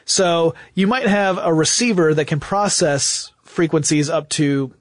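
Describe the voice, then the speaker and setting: A man's voice: fairly high pitch, measured pace, no room sound. A man hosting a technology podcast, explaining technical detail conversationally and with enthusiasm into a close microphone. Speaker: podcast host. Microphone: close studio microphone.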